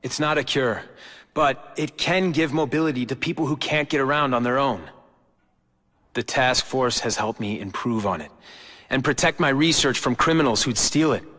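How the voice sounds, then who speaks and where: A man's voice: medium pitch, normal speed, bright, forceful tone. A man with an American accent voicing an embittered, brooding young corporate heir in a video game cutscene. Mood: somber. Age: young adult. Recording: long hall-like reverb. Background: background music.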